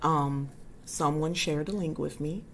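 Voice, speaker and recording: Husky, low-pitched woman, 40s, casual livestream host, chatty and friendly with viewers. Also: unhurried, slight background noise. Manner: chatty and warm toward her viewers, frequent ums.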